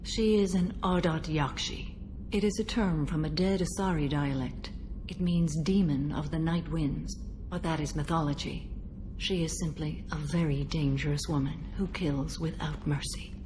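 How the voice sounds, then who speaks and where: An actress playing a stoic, centuries-old alien warrior woman bound by a code in a video game, speaking in a measured, formal, solemn manner. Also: sits low, fairly even intonation, noisy background, lightly acted. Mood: solemn, stern. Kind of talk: video game dialogue acting.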